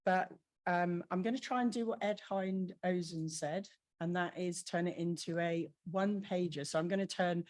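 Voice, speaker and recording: A woman presenting at a conference about her team's programme, hoarse from losing her voice, talking in a chatty, informal way with frequent fillers and restarts. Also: on the low side, darkish tone. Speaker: conference speaker from a government marine team.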